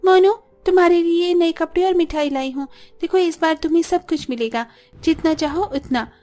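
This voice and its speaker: A woman's voice: very high-pitched, moderate pace, mellow. A woman playing a rich, doting but mocking mother in a cartoon story, boastful and condescending. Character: rich, smug, mocking young sisters-in-law and doting mothers.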